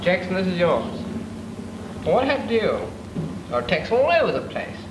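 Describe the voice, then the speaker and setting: A man's voice: high-pitched, sing-song, normal speed. A man with a British accent playing an instructor in a 1940s film, matter-of-fact and mildly scolding as he reviews reports. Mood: stern. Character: stern male gunnery instructor. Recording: echoey, hissy old film sound.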